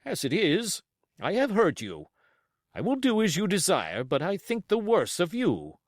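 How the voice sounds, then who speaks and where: A man's voice: high voice, huge pitch swings, measured pace, no room sound, clean studio sound, pitched above the performer's own voice, exaggerated voice. A man voicing a hot-tempered, haughty country squire in an audiobook, blustering with indignant emphasis.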